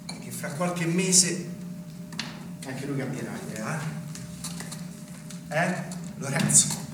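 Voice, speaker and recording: A man's voice: a little high, animated, takes it slowly. A man acting on stage as a smug, talkative small-town man who preaches local independence and prods with tag questions. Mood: smug, preachy. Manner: rhetorical, prodding with tag questions. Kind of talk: live stage theatre acting. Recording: very echoey, distant, noisy stage recording.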